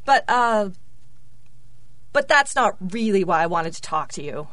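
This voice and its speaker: A woman's voice: medium pitch, sing-song, unhurried, fairly bright tone, no room sound. An actress playing a forthright, flirty woman scientist in an audio drama, quirky and exclamatory.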